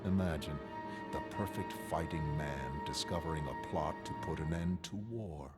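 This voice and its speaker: Calculating mastermind, low pitch, measured delivery, cold and articulate, grandiose animated-comic monologue, clear background music. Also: male voice. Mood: cold, composed. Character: calm, calculating male mastermind confessing his grand plan. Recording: music under the voice. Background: dramatic background music.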